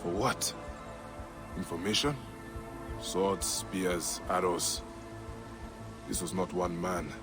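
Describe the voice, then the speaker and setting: An actor playing a grave, determined Egyptian warrior in a video game, measured and stern in manner. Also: male voice, low voice, steady tone, over music. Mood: grim, focused.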